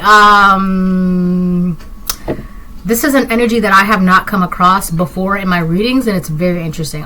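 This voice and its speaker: A woman's voice: medium pitch, bright, forceful tone, rough recording. A Spanish-speaking woman from Puerto Rico giving a casual tarot reading, rambling with lots of fillers and restarts. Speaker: tarot reader. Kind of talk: casual intuitive reading to camera.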